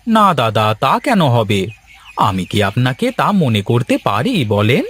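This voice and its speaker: A poor young farmer in a village cartoon, acted by a man. He is deferential and smooth-talking, wily beneath the humility, his pitch often lifting above a middling male register. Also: big pitch swings, unhurried, a bit bright, heavily acted. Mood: placating. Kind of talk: Bengali cartoon voice acting.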